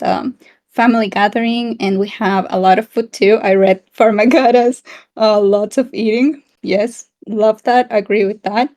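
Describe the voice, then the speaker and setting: A woman's voice: on the high side, darkish tone. A woman from South America co-hosting a casual online community chat in a friendly, giggly, encouraging way. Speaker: town hall co-host.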